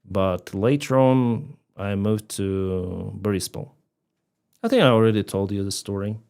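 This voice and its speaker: A man's voice: fairly low pitch, measured pace, creaky, a little mellow, clear, resonant tone. A man from Crimea with a Ukrainian accent, whose first language is Russian, chatting casually on a live stream, reading out donations and laughing often. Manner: chatty, laughs often, reads out donor names.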